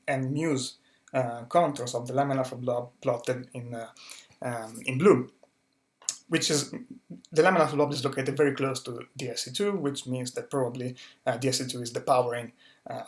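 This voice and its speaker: A young man presents a recorded research talk in a middle-pitched voice. He speaks carefully and methodically, often restarting and repeating words as he moves from slide to slide. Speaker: conference speaker, astrophysics researcher.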